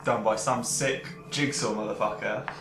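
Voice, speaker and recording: Bright-voiced guy, rambling at game table. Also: lowish voice, fairly even intonation, moderate pace. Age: 20s. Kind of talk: casual improvised in-character chat. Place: domestic dining room. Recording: roomy home recording, faint noise.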